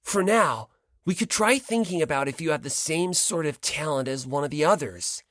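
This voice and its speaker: A man's voice: on the high side, animated, moderate pace, fairly bright tone, dry recording, studio quality. A man voicing a cheerful, self-deprecating teenage boy who needles with frivolous, insincere politeness in an audiobook. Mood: eager, probing.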